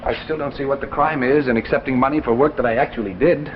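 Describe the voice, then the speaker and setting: An actor playing an excitable, defensive young American husband, a struggling writer, in 1950s drama style. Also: male voice, mid-range voice, quick pace, slight background noise, close to an everyday voice. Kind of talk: 1950s television drama acting. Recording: muffled vintage broadcast, loud music behind.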